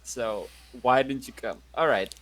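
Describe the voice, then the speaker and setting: A man's voice: mid-range voice, a little slow, a bit bright. A man playing a tabletop roleplaying game, switching between in-character talk and bossy, teasing banter.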